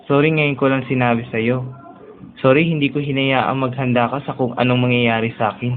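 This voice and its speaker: A man with a Filipino accent playing a gentle, lovelorn young man who speaks earnestly and tenderly in a romantic radio drama. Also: medium pitch, steady tone, fairly quick, phone-quality sound, lightly acted. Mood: tender, wistful. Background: music.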